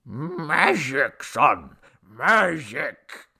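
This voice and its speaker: A man voicing a tipsy, boastful old Babylonian idol god from a story, with a slurred, booming, folksy drawl. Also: big pitch swings, drawn-out words, creaky, pushed and bright, husky, full character voice. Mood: drunken boasting.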